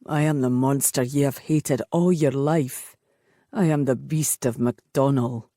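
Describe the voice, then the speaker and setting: A woman voicing a brooding, cursed Highland man with a low, strained voice. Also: steady tone, measured pace, warm tone, no echo, clean studio sound, full character voice. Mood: tormented.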